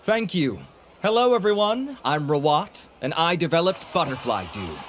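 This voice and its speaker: An actor playing a handsome, vain, suave inventor who gives a grandiloquent, theatrical sales pitch in a video game. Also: male voice, very animated, moderate pace, slight background noise. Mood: smug. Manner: pompous, grandiloquent. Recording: music bed behind voice.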